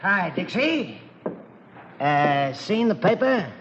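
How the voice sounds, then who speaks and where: A man's voice: high voice, big pitch swings, takes it slowly, background noise, exaggerated voice. An actor playing a frontier townsman in a 1940s radio drama. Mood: announcing. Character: frontier townsman reading out a newspaper notice. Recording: dull vintage radio recording.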